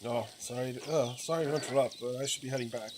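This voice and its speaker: A man's voice: medium pitch, steady tone, fast talker, lightly acted. Man reading a wry young villager, noisy ambience. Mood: brusque, dry. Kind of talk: reading game dialogue aloud.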